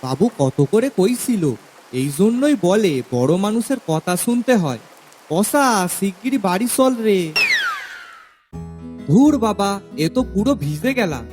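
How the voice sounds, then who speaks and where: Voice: mid-range voice, sing-song, a little slow, pauses often, a little mellow, faint music behind. An actor voicing a simple, chatty village manservant with a rural Bengali accent, naive and full of exclamations, in a cartoon story. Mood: exasperated, grumbling. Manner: naive, talkative, exclaiming, rustic speech. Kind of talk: animated family comedy-drama acting.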